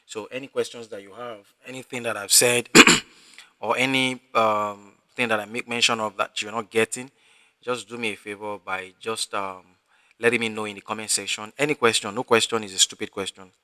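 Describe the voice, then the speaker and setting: A man teaching a live online class, talking in a halting way with many fillers and restarts. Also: on the low side, fairly even intonation, bright, forceful tone, dry recording. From Africa. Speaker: instructor.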